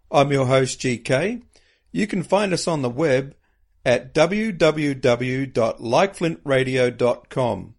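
A man hosting a faith-based radio show, reading his introduction in a measured, earnest way. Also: mid-range voice, creaky.

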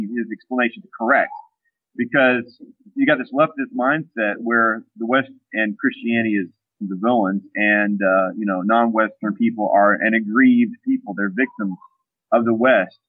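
A man speaking as a guest on an interview show, halting and full of fillers and restarts, heard over a phone line. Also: medium pitch, breathy edge, no echo. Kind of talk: casual radio interview talk. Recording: dry, tinny phone-line sound.

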